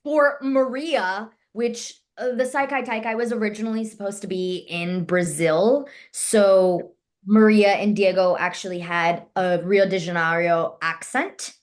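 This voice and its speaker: A woman's voice: medium pitch, unhurried. A woman actress and martial artist, telling her story in a casual interview and acting out the dialogue she quotes. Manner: animated, acts out quoted dialogue in her stories.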